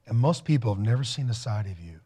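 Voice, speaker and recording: A man's voice: lowish voice, expressive, fast talker, dark tone, husky. A man hosting a TV studio interview, affirming and encouraging his guest. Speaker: TV host.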